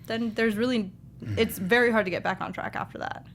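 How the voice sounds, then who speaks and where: A woman's voice: a little high, fairly even intonation, a little fast, a little hiss or hum. A woman co-hosting a review show, giving her opinions in casual panel discussion. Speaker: co-host of a film review show.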